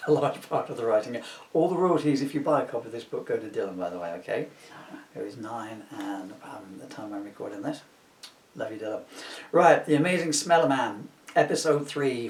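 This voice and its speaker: A middle-aged man reads a children's book in a loose, chatty way, rambling into jokey asides. His voice sits at an ordinary pitch. The recording is roomy and echoing, with the microphone some way off. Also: expressive, moderate pace, slight background noise. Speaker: author reading from his own children's book. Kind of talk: informal, chatty video book reading. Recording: roomy echo, distant microphone.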